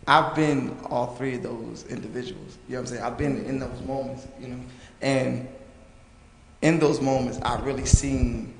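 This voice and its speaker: Hoarse young man with a gravelly edge. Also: mid-range voice. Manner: loose, rambling, full of fillers. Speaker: panelist. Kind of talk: casual panel discussion. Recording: long reverb, faint noise.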